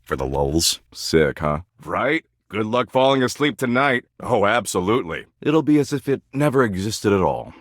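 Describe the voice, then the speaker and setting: A man's voice: on the low side, very animated, normal speed, hardly any pauses, no room sound, clean studio sound. A man voicing a smug, slangy embodiment of existential dread in a video game, with a sardonic, dismissive slacker-cool delivery. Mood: gleefully morbid. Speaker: voice actor in a dating simulator game. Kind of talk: video game character dialogue.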